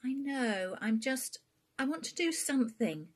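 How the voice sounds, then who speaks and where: Woman acting young woman, earnest, defiant, determined, husky, raised storybook dialogue. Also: high voice, animated, a little slow, voice pushed up, full character voice. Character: determined, frightened young woman, a checkout worker.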